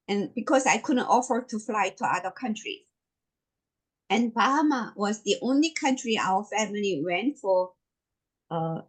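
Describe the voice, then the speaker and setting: Middle-aged Korean woman, slow emphatic motivational speaker, lively intonation. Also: medium pitch. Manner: emphatic, repetitive, deliberate. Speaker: network marketing presenter. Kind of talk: motivational business presentation.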